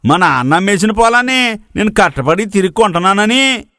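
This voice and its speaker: A man's voice: high voice, lively intonation, fairly quick, bright, forceful tone, dry recording, studio quality, exaggerated voice. A man playing a gossipy, blunt village farmer with a rustic manner in a moral story.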